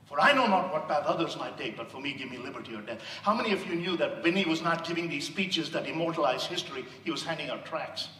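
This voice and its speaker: A man's voice: a little high, animated, fast, hardly any pauses, a little hiss or hum. A man from India with an Indian accent, giving an impassioned sermon-style speech as a motivational speaker. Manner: impassioned, rising to loud emphasis. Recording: echoey hall, distant mic.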